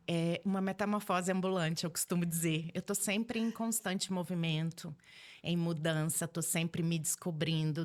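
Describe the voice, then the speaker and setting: A woman in her forties with a Brazilian accent from Minas Gerais, chatting casually as a podcast guest and telling stories in an animated way. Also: on the low side. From Belo Horizonte, Minas Gerais, Brazil. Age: 40s. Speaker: podcast guest, holistic therapist. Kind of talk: casual conversational interview.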